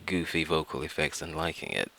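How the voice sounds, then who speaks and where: Male tutorial presenter, low pitch with a crisp bright edge, informal and explanatory. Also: a little fast, slight background noise, poor audio. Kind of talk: informal software tutorial narration.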